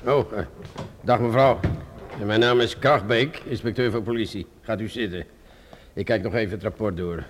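An actor playing a weary, grumbling, sarcastic police inspector in a radio play, with a hesitant, muttering manner. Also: male voice, on the low side, moderate pace, a little hiss or hum, lightly acted. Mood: measured, formal. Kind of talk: Dutch radio drama acting.

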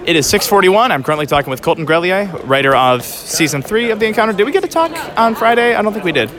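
Quick-talking man interviewing amid crowd. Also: high voice, sing-song. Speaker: interviewer and podcast host. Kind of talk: casual on-site convention interview. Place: busy public space at a fan convention. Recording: busy convention crowd behind. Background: crowd chatter.

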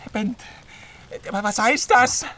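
An actor in a radio drama playing a slight, frightened East German psychologist, stammering and pleading in halting English and German. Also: male voice, high voice, bright, forceful tone, a little hiss or hum. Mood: panicked.

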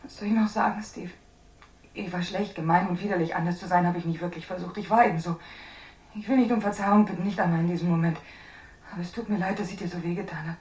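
An actress in a 1960s German radio drama playing a frightened young woman, speaking in a halting, pleading, intimate way. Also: lowish voice, steady tone, a little mellow, reverberant, far from the mic, close to an everyday voice. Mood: fearful, tender. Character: frightened young woman leaving a desperate farewell message.

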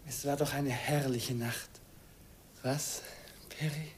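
Actor as a nineteen-year-old student, earnest, slow-spoken, pedantic explainer, radio play. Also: male voice, mid-range voice, fairly even intonation, a little hiss or hum, close to an everyday voice. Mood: shy. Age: young adult.

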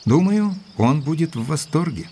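An actor playing an earnest, gentlemanly doctor in an audio drama, speaking in a courteous, measured way. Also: male voice, a little high, big pitch swings, slightly rough. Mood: polite. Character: earnest gentlemanly doctor, loyal and cautious, narrating. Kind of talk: audio drama narration and dialogue.

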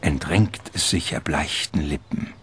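A man narrating a literary radio drama in a measured, ornate storytelling manner. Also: a bass voice, a bit bright, slight background noise. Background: music.

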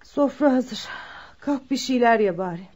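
An actress playing a worried, gentle middle-aged mother in a radio play, anxious and pleading. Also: on the high side, animated, measured pace, slightly rough. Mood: tender concern. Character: worried, gentle mother of a troubled grown son. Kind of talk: Turkish radio theatre acting. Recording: dull lo-fi radio drama recording.

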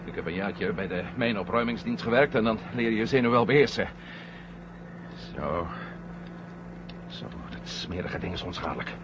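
An actor playing a cool-headed, sardonic astronaut in a 1970 science-fiction radio drama, speaking with dry sarcasm. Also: male voice, on the low side, normal speed, pauses often, lightly acted. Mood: calm, focused. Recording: muffled, noisy old radio drama recording.

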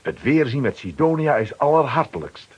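A male narrator tells a children's adventure story in a medium-pitched, very husky voice. His reading is scripted and highly animated, with wide melodic swings. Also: normal speed, lo-fi sound.

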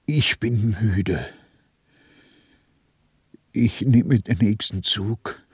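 An actor in a radio play voicing a weary, shrewd small-time dealer with a rough, resigned way of talking. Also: male voice, on the low side, extremely slow, no room sound, exaggerated voice. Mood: tired, musing. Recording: dry studio with faint music.

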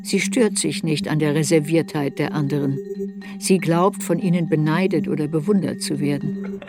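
An actress playing a reflective woman looking back on a troubled youth, narrating a radio drama in a measured, introspective way. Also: low voice. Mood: sober, reflective. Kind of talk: literary radio drama narration, first-person memoir. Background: music.

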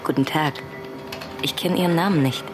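A woman's voice: low voice, normal speed, music in the background, natural voice. A woman playing a composed sound technician in a radio drama, answering briefly. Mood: slightly nervous. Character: composed female sound technician being interviewed.

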